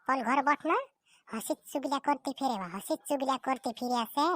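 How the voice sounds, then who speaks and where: A woman's voice: sits high, a little fast, a bit bright. A woman voicing a chatty young village daughter-in-law who complains about her mother-in-law. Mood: complaining.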